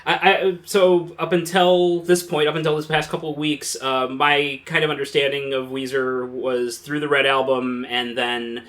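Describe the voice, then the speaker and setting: A man in his forties chatting casually as a podcast guest, halting and repeating words as he goes. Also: mid-range voice, little pitch movement, a bit bright. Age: 40s. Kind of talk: casual chat.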